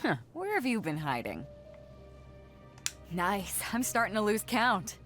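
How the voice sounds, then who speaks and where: An actress playing a breezy, good-humored, swaggering adventurer in a video game. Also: female voice, medium pitch, very animated, measured pace, fairly bright tone, soft background music. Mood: cheerful, boastful.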